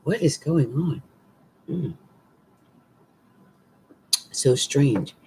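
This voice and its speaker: Slow, hoarse woman giving a forecast. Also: extremely low pitch, expressive, dark tone, a little hiss or hum, rough recording. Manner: slow, rambling, many fillers and restarts. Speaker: astrologer. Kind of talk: casual astrology forecast. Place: small room at home, indoors. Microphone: webcam at desk.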